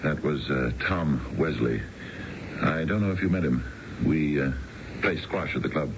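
Very deep, creaky American businessman. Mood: evasive, polite. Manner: halting, deliberate, polite. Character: smooth, philandering married businessman, hesitant yet cool and calculating. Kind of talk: radio drama acting. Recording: noisy, dull vintage radio broadcast.

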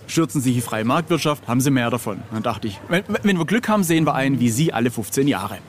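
Man, late forties, from southern Germany, casual interview guest, anecdotal, medium pitch, light outdoor café noise. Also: lively intonation, quick pace. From southern Germany (Swabia). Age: late 40s. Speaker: interview guest, freelance illustrator and cover artist. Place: outside at a street café in a city.

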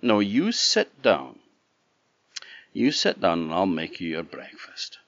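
A man voicing the big, soft-hearted wife of a giant who fusses and warns, in a storybook tale. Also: low-pitched, wildly swooping pitch, slow pace, no echo, muffled recording, heavily acted. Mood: fussing. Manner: fussing, cautionary.

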